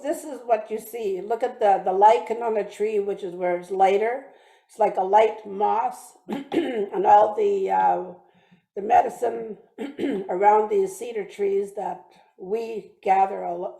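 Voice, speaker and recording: A woman from British Columbia giving a talk with slides, speaking haltingly with many restarts and fillers. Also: mid-range voice, vocal fry, warm tone, echoey room, poor audio. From British Columbia, Canada. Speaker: guest speaker. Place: church hall or online meeting.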